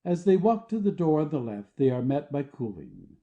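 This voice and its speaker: A man's voice: a little high, wildly swooping pitch, moderate pace, mellow, lo-fi sound. A man reading stage directions as scripted narration for an audio play.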